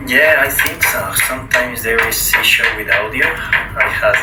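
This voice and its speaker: A man's voice: medium pitch, normal speed, loud music behind the voice. A man chatting casually on a video call. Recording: echoey, distant, noisy video-call audio.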